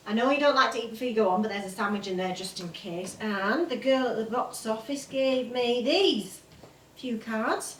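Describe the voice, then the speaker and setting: An actress playing a practical, protective grown daughter with a blunt, fussing manner in a stage play. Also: female voice, medium pitch, fairly bright tone, natural voice. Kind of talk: naturalistic stage play acting. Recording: roomy, distant stage recording, faint noise.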